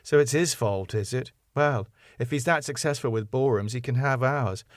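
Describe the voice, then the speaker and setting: A man's voice: mid-range voice, moderate pace, no room sound, clean studio sound, lightly acted. A man voicing a sardonic, sceptical sitcom wife. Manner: sardonic, questioning. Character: dry, sceptical wife of a club owner. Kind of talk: sitcom script reading.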